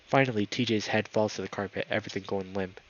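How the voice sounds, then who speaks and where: A man reading a visual novel aloud in expressive, dramatic narration, now and then stumbling over words. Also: on the low side, quick pace, muffled recording.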